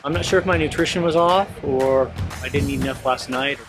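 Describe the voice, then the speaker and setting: A man's voice: mid-range voice, a little fast. A man, a runner, speaking candidly in short comments. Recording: distant from the mic, music underneath.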